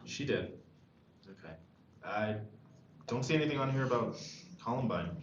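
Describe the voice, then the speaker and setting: Man playing an idealistic high school senior, echoey room. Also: lively intonation, moderate pace, natural voice. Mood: frustrated, unsure. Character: idealistic, frustrated male high school senior, a prom king. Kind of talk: screenplay table read. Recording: dull echoey room, noisy.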